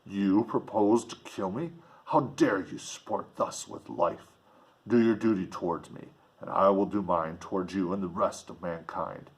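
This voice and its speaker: A man's voice: sits low, a little slow, voice pushed down. A man voicing a huge, bitter manmade monster in a storybook reading, speaking in solemn, archaic oratory. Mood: bitter, wretched.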